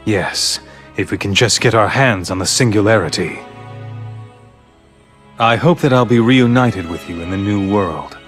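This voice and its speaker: An actor playing a calm, persuasive leader of a grand scheme in a video game, speaking in formal, measured, eloquent phrases. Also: male voice, fairly low pitch, soft background music. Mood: smooth, confident. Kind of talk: dubbed video game cutscene acting.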